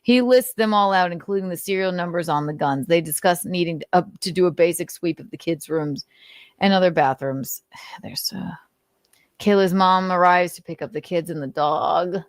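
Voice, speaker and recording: A woman hosting a livestream reads aloud in a slightly low voice. She slips between dramatic narration and casual, sardonic asides with some swearing. The recording is dry, with little room sound. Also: moderate pace, no room sound. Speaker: livestream host. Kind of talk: dramatic reading with casual, sarcastic commentary.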